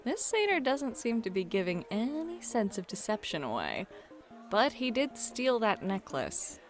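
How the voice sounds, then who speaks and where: A woman runs a fantasy roleplay as its game master. Her fairly high voice moves expressively up and down as she narrates and teases, over soft background music. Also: huge pitch swings, a little slow. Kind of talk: playful second-person fantasy narration, ASMR roleplay.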